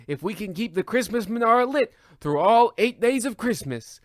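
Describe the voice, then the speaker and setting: A man acting an excitable schemer from an old-time radio parody. His voice sits high and swings widely as he declaims each line theatrically, recorded close and dry. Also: moderate pace, no room sound. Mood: earnest, flustered. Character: excitable, scheming male tenement dweller at Christmas. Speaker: sketch performer and presenter of a comedy radio play.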